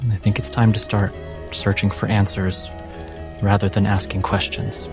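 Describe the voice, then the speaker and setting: A man as a gentle ex-fighter, grave, reassuring, lowish voice, tabletop roleplay over music. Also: flat delivery, normal speed, close to an everyday voice. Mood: grave, consoling.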